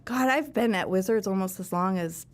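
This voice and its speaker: A woman's voice: medium pitch, normal speed. A woman co-hosting a talk show, chatting and bantering casually. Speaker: podcast co-host.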